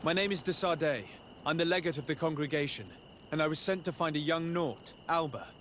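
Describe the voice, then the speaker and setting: A courteous young legate in a game cutscene, acted by a man. His voice sits a little high and he speaks formally and with composure. There is clear outdoor noise behind him. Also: a little fast, a bit bright, close to an everyday voice. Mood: businesslike. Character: polite, composed young male diplomat and legate. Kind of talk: video game cutscene dialogue.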